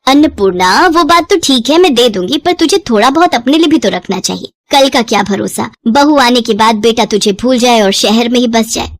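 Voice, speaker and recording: A woman voicing a kindly, frank rich village employer's wife, brisk and concerned as she gives advice. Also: fairly high pitch, animated, dry recording.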